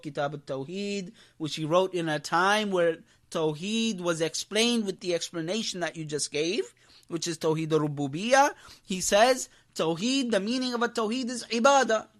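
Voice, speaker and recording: A man's voice: high-pitched, moderate pace, a bit bright. A man gives an impassioned religious lecture. He speaks as an imam teaching a class, stressing and repeating key phrases and throwing questions out to his listeners.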